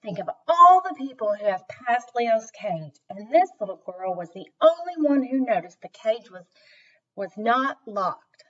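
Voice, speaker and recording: A woman voicing an emphatic, admiring male circus owner in a children's story, with deliberate, declarative delivery. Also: fairly high pitch, big pitch swings, a bit bright, exaggerated voice. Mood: impressed, grateful. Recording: dull, distant microphone.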